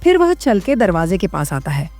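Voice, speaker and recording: A woman narrates a scripted horror tale. Her fairly low voice moves through a lively range of pitch, with prominent music behind it. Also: moderate pace.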